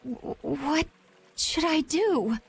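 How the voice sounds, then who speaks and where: A woman's voice: high voice, animated, extremely slow, slight background noise, heavily acted. A woman playing an earnest, stiff young female knight with a formal, hesitant manner in an anime game dub. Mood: guilty, hesitant.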